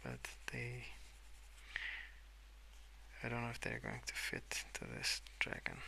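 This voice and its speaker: A man speaking softly and hesitantly in a rambling ASMR style, often slipping into whispers at a desk indoors. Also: mid-range voice, sing-song, slow, creaky, a little hiss or hum. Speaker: host of a colouring ASMR channel. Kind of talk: soft-spoken rambling ASMR. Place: at a wooden desk indoors, with pencil sounds.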